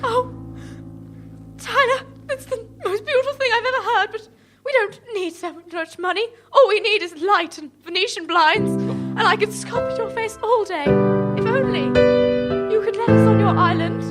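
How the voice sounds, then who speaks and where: A woman playing an excitable, idealistic female sculptor in an improvised comedy radio play, exclamatory and breathless. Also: very high voice, moderate pace, music in the background. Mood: dreamy.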